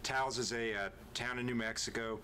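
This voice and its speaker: A man from Northern California with a nasal voice and a Northern Californian accent. He explains the region's speech in a measured, informative way in a played video. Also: mid-range voice, steady tone, sharp tone.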